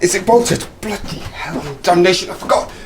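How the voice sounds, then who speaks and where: An actor playing a haunted, desperate man who survived an Arctic plane crash, halting and muttering to himself in an audio drama. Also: high-pitched, animated, heavy background noise.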